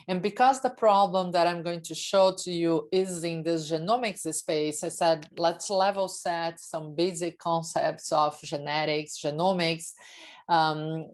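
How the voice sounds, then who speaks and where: A middle-aged woman with a Brazilian accent and native Portuguese, giving an informal, chatty talk as a guest speaker. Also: lowish voice. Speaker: guest speaker, technology executive. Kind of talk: informal online presentation.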